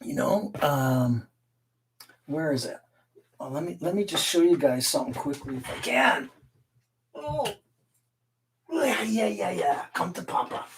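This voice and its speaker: A man's voice: a little high, big pitch swings, unhurried, creaky, long pauses, recorded from a distance. A man raised in Canada hosting a casual live stream, rambling, laughing often and reading the chat aloud. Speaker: livestream host and guitar collector.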